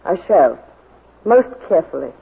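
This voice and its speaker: A composed, defiant woman in a vintage radio play. Her speech is terse and deliberate, husky, through a thin, tinny recording. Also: fairly low pitch, sing-song, full character voice. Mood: coolly defiant. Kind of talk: 1940s radio drama acting.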